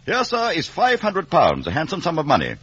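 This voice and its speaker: An actor playing a stern, domineering Victorian lawyer in an old radio drama, brusque and precise. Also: male voice, a little high, huge pitch swings, brisk, fairly bright tone, breathy edge, heavily acted. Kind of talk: 1950 radio drama acting. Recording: muffled vintage radio broadcast recording.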